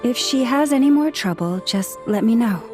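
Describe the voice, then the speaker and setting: Un'attrice che interpreta in un videogioco una guaritrice calma, composta e rassicurante. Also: female voice, on the high side, moderate pace, over music, lightly acted. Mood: calm, reassuring. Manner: composed, reassuring. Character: calm, caring female healer. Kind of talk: video game voice acting.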